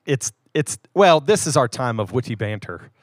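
A man's voice: mid-range voice, very animated, moderate pace. A man co-hosting a podcast in casual, folksy banter, feeling his way through sentences and repeating phrases. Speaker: podcast co-host.

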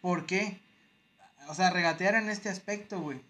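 Mexican male podcast co-host, a touch high-pitched, loose slangy storyteller who acts out bits. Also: moderate pace, pushed and bright. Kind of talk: casual chat.

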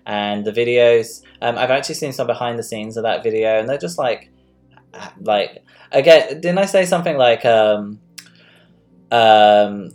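A woman's voice: rumbling low, a little slow, darkish tone. A woman hosting a casual live-stream chat, talking loosely with lots of fillers. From London. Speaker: host.

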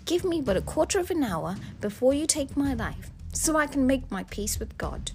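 A woman voicing a frightened, evasive young wife in a dark fairy tale, faltering and pleading dramatically. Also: a little high, very animated, normal speed, a little hiss or hum, voice pushed up.

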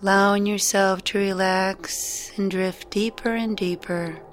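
A woman guiding a meditation in a gentle, soothing, unhurried way, leaving long pauses between phrases. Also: medium pitch, steady tone, slight background noise. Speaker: meditation guide. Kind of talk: guided meditation narration. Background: music.

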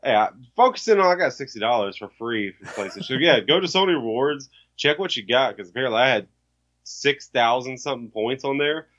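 Animated, swearing male co-host, dull sound. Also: mid-range voice, fairly quick. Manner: animated, teasing, swears freely. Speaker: podcast co-host. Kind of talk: casual chat.

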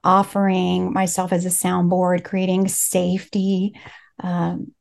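A woman's voice: lowish voice, completely flat delivery, slow pace, creaky, darkish tone. A middle-aged woman from Los Angeles, a native Spanish speaker, telling her story in an earnest, reflective way as an interview guest. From Los Angeles, United States. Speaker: life coach, interview guest. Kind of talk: interview conversation.

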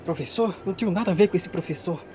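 A man's voice: high-pitched, rapid-fire, dark tone, slight background noise, voice pushed up, lightly acted. A man voicing a cornered pastor who pleads and whines in tearful protest in a tabletop game. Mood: defensive.

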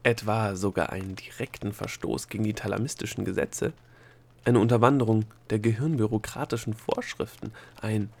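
A haughty female creature of bureaucracy from a storybook, put on by a male reader. She is prim and formal, picking out her words in a measured way. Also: lowish voice, fairly even intonation, creaky, darkish tone. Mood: coquettish. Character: cool, prim, bureaucratic female thought-creature, secretly coquettish. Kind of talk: storybook character dialogue.

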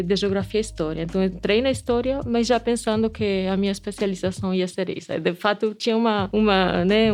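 A woman's voice: mid-range voice, darkish tone. Woman, Spanish accent, middle-aged, academic, reflective interview talk, frequent restarts. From Spain. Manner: thoughtful, many restarts and tag questions. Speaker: anthropology professor.

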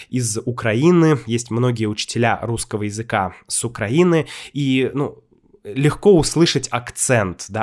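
Hesitant man explaining at an easy pace. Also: mid-range voice. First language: Russian. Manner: thoughtful, hesitant, with many fillers and restarts. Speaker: Russian language teacher and YouTuber. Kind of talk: casual explanatory monologue.

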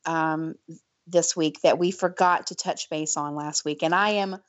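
A woman with a Southern US accent co-hosting a casual podcast, chatty and quick to laugh. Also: low voice, steady tone. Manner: laughs easily, chatty with fillers. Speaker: podcast co-host. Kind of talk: casual chat.

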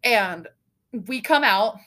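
A woman's voice: medium pitch, takes it slowly. A young woman podcast co-host with a nasal voice, telling a story in casual chat, swearing often. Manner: animated, swears often, tells stories breathlessly.

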